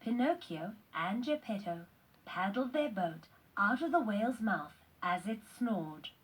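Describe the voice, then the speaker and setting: A woman reading scripted quiz questions and answers for children in a deliberate, instructional way. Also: mid-range voice, expressive, breathy edge, low-quality recording. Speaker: narrator of a children's read-along quiz.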